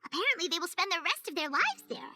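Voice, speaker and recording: A tiny fairy sidekick from a video game with an extremely high, squeaky child's voice. The lines bounce up and down with eager curiosity, and music plays clearly behind them. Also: female voice, very animated, quick pace, pushed and bright, exaggerated voice. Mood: cheerful.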